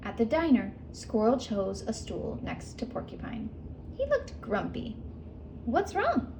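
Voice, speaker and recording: A woman's voice: on the high side, expressive, a little slow, gravelly, noisy background. A woman reading a children's picture book aloud with expression, stopping to ask her young listeners questions. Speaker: read-aloud host.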